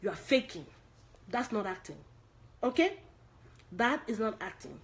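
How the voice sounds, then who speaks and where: A woman's voice: a little high, very animated, measured pace. A Nigerian woman giving an online acting lesson to viewers. She repeats key points for emphasis and asks rhetorical questions. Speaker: acting coach.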